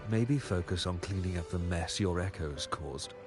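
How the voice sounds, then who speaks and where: An actor playing a smug, mercenary rival in a video game, with a taunting, condescending manner. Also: male voice, sits low, normal speed, music in the background, natural voice. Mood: smug, mocking. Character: smug, mercenary male rival of a merchant family.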